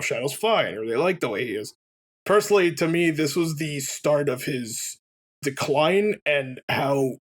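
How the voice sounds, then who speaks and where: A man chatting casually and giving opinions as a game commentator, rambling and swearing as he goes. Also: fairly high pitch. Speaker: let's player commentator.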